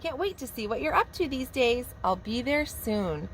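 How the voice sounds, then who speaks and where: A woman's voice: on the high side, very animated, moderate pace, a little hiss or hum, poor audio. A woman voicing a cheerful, friendly male squirrel cousin as she reads his letter aloud in a storybook. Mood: cheery.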